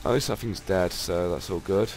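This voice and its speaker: A young man with a croaky voice casually commenting on his gameplay, excitable and swearing. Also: sits low, moderate pace, faint music behind. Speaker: let's play gamer.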